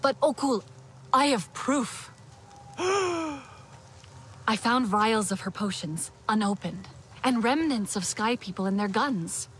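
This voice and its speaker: A woman's voice: a little high, lively intonation, slow, pauses often, a bit bright, slight background noise, poor audio. An actress voicing a determined young alien woman in a video game cutscene, speaking earnestly and insistently. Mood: urgent, reassuring. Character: young determined female Na'vi, last of a massacred clan.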